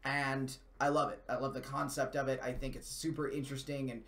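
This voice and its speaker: A man's voice: mid-range voice, little pitch movement, fairly quick, fairly bright tone. A man, co-hosting a gaming live stream in casual, rambling, enthusiastic and slangy chat as he answers viewers. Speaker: co-host of a video game live stream. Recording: echoey room.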